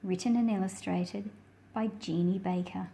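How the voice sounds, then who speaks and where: A woman hosting a children's read-aloud, speaking in simple, deliberate phrases as if straight to young listeners. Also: mid-range voice, fairly even intonation, creaky, warm tone. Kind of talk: slow picture-book read-aloud with friendly asides to young viewers.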